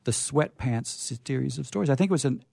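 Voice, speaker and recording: A man's voice: mid-range voice, expressive, a little fast, vocal fry, mellow. A man hosting a radio show, reading short comic stories aloud and chatting in a playful, theatrical way from a studio.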